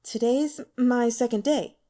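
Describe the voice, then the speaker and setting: A woman voicing a timid young male police intern in an audiobook, halting and sheepish. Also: on the high side, dark tone, close to an everyday voice. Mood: sheepish, nervous.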